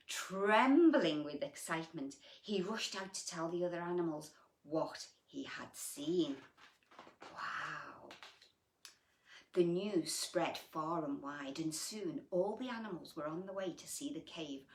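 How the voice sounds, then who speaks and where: A woman reading a bedtime picture book to children, with gentle, chatty asides and questions to her young listeners. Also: lowish voice, echoey room.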